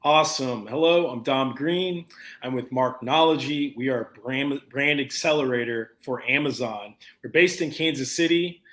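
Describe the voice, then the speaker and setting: An upbeat man introduces himself as a sales director at an agency, speaking in a friendly, easy way. His voice sits at an ordinary middle pitch. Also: moderate pace, fairly bright tone. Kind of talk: casual business chat.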